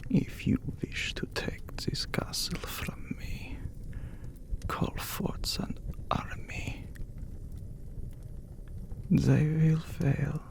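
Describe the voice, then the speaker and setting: A man playing an immortal, brooding vampire lord in an ASMR audio drama, with a breathy whisper and solemn, formal phrasing. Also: on the high side, a little mellow, exaggerated voice. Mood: quietly menacing. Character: handsome immortal vampire count, brooding, menacing lord of castle.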